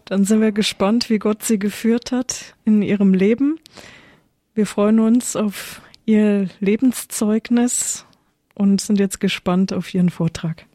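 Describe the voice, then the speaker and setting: A woman's voice: medium pitch, fairly even intonation, slow pace, dark tone, dry recording. A woman radio presenter introducing a priest as her studio guest, with polite, friendly formal address.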